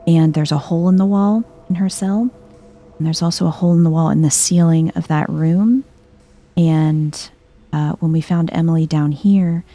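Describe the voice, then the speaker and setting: A soft-spoken woman giving calm, casual let's play commentary in an ASMR vibe, musing aloud as she plays. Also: fairly low pitch. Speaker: video game streamer, let's player.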